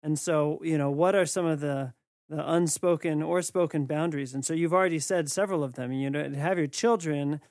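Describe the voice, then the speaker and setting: A man's voice: fairly high pitch, a little fast, a little mellow, no echo, studio quality. A man interviewing a guest, asking thoughtful, searching questions in a casual conversation.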